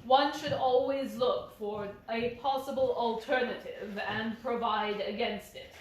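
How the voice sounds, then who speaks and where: Brisk, exacting male detective for a story reading, voiced by a woman, higher register, strong room echo. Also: lightly acted. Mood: measured disapproval. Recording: very echoey room.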